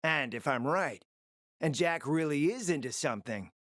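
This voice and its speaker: Sly smooth-talking spymaster for a game, theatrical and urbane, pitch moves around a lot, dry recording. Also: male voice, lively intonation, normal speed, a bit bright, no echo. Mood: smooth, coaxing. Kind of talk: video game voice acting.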